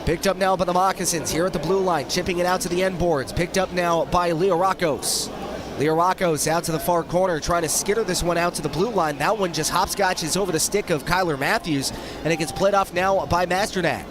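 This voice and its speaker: A man calling hockey play-by-play, sounding nasal from a head cold, with a rapid running commentary that rises on shots. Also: sits high, noisy background. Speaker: play-by-play announcer.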